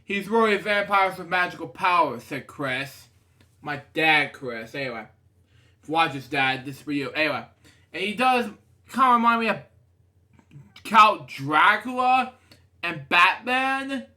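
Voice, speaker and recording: High-pitched man rambling through a storybook. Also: lively intonation, measured pace, pauses often, sharp tone. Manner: rambling, digressive, often breaking off to comment. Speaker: storybook reader and show host. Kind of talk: casual read-aloud of a children's picture book with rambling asides.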